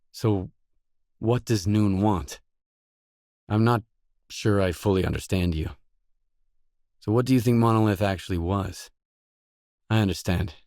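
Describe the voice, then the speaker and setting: An actor voicing a gruff, guarded stalker mercenary in a video game, blunt, terse and no-nonsense. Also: male voice, fairly low pitch, a little mellow, dry recording, studio quality. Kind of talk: video game dialogue lines.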